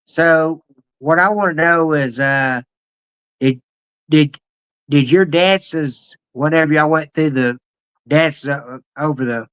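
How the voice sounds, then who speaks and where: A man hosting a casual interview over a video call, asking questions in a halting way with many restarts. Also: on the high side, a bit bright, clean tone, low-quality recording. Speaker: interview host.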